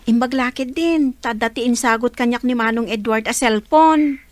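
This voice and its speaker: A woman's voice: fairly high pitch, moderate pace, dry recording, lightly acted. A woman with an Ilocano accent playing a teasing younger sister in a village radio comedy drama.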